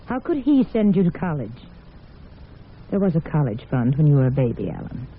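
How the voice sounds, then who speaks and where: A woman's voice: deep voice, big pitch swings, a little fast. An actress playing a probing, self-pitying middle-aged mother in an old radio drama, on a tinny, noisy recording. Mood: evasive. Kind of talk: 1950s radio drama acting.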